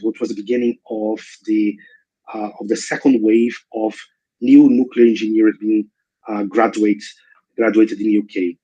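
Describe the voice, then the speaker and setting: A man's voice: mid-range voice, warm tone, slightly rough, dull audio. A man in his forties from Brazil, speaking English with a mixed Brazilian, British and American accent, giving a seminar over a video call with many fillers and restarts. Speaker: invited guest speaker. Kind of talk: online seminar talk.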